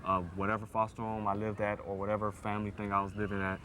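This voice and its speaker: A man's voice: fairly low pitch, monotone, quick pace, bright, forceful tone, slight background noise. A young American man of about nineteen speaking candidly about his life in an interview. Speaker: interviewee, foster youth. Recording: music bed under the voice.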